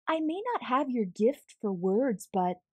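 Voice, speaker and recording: A woman's voice: on the high side, lively intonation, a little slow, husky, no room sound. A woman voicing a male storybook squirrel, hesitant and earnest but easily huffy.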